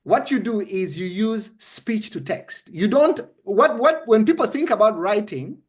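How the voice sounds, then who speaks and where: A man's voice: sits high, animated, measured pace. A man with a Ugandan accent coaching conversationally, explaining step by step in an emphatic, instructive way and often checking that he is understood. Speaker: interview guest explaining a method.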